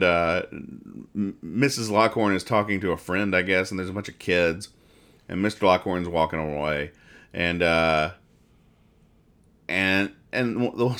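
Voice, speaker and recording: A man's voice: low voice, a bit bright. A man hosting a podcast, casually describing and explaining comic strips in a rambling, mock-earnest way. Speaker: podcast host.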